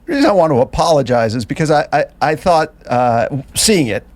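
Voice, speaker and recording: A man's voice: on the high side, animated, fairly quick, darkish tone, no echo. Dry, mock-formal man teasing his co-host. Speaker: podcast co-host. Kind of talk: casual chat. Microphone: podcast mic, audio only.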